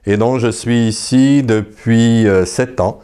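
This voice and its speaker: A priest in his late fifties speaking calmly about his parish and chaplaincy, dotting his speech with small fillers. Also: mid-range voice, smooth. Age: 50s. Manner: slow, calm, with frequent "hein". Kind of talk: recorded personal testimony.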